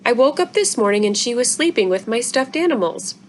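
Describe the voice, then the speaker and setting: An earnest, protective young girl as a woman reader plays her, pitched high and full of feeling. She pleads her case in a storybook reading, with clear background noise on the recording. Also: sing-song, fairly quick. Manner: pleading, emotional. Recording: noisy room recording.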